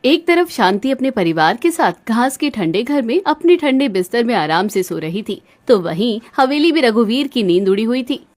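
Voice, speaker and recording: A woman's voice: a little high, very animated, normal speed, hardly any pauses, no room sound. A woman reading scripted narration for a cartoon story.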